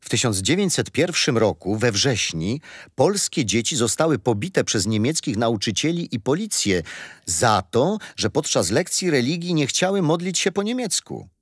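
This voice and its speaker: An actor playing a patient, gentle grandfather telling children a history story in a radio play. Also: mid-range voice, big pitch swings, normal speed, husky, no room sound, studio quality. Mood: patient, fond. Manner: gentle, didactic.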